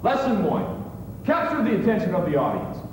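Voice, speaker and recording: Brash comic instructor, played by a man, bossy and teasing, animated highish voice, scripted lesson in an echoey hall. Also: normal speed, slight background noise. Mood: brisk, instructive. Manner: punchy, bossy, teasing showman. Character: brash, teasing stand-up comedian teaching public speaking. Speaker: comedian presenting a training film. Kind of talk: scripted comedic lesson delivered to an audience. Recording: echoey hall, music behind.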